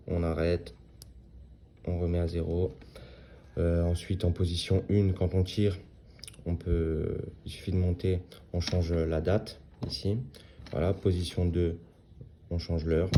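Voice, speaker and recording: A man in his thirties with a low, dark, gravelly voice talks through a watch unboxing in a casual, halting way, full of small fillers and restarts. A faint hiss of noise sits under the recording. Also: flat delivery. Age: 30s. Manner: hesitant, lots of fillers and restarts. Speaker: watch reviewer.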